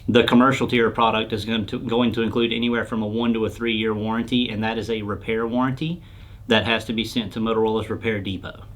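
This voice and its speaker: A man's voice: fairly low pitch, level tone, quick pace. A man answering questions as a company product expert, in an informal, plain-spoken explanatory style. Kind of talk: casual explanatory interview talk.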